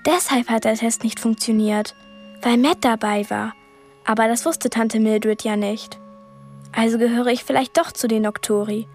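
Woman playing an earnest, high-voiced young girl. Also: fairly even intonation, normal speed, faint music behind, lightly acted. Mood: excited. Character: earnest, curious twelve-year-old girl heroine. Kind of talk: radio play acting.

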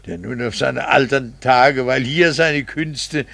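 An actor playing a bitter, condescending middle-aged husband in a theatrical 1960s radio drama. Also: male voice, medium pitch, vocal fry, a bit bright, heavily acted. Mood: cold, mocking. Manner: sardonic, theatrical, drawn-out rhetorical phrasing. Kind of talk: 1960s German-language radio drama acting.

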